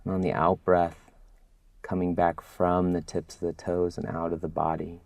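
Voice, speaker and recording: A man guiding a body scan meditation as a mindfulness instructor, speaking gently and soothingly with long pauses. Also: low voice, monotone, gravelly, no echo.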